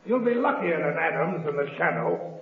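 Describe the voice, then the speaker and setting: An actor playing a ruthless, gloating gangster villain in a menacing, taunting 1930s radio style. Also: male voice, a little high, sing-song, normal speed, phone-quality sound, a crowd in the background. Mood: cold threatening. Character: ruthless gloating double-crossing swindler and killer villain. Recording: scratchy band-limited 1930s radio, echoey, noisy.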